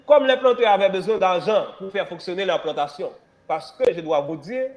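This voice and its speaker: A man teaching history in a classroom, hoarse from a cold, dictating slowly, repeating phrases and spelling words out letter by letter. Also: high voice. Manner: emphatic rising stresses, rhetorical questions.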